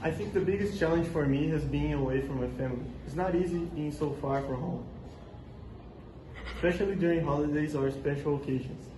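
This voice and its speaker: A young man with a slightly high voice reads prepared interview answers at a steady pace. The room is echoey, and clear background noise runs underneath. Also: a little fast. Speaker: role-play interviewee. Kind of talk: scripted school role-play.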